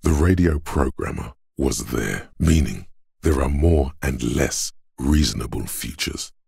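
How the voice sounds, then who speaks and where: A man voicing the cold inner voice of logic in a detective's mind for a video game, measured and analytical, with a gravelly, husky delivery. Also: very deep voice, animated, darkish tone, no room sound, studio quality, full character voice. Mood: coolly deliberate.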